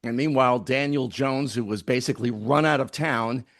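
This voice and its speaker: A man's voice: medium pitch, moderate pace, fairly bright tone. A man speaking as an interviewer in a conversational sports discussion. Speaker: interviewer, host.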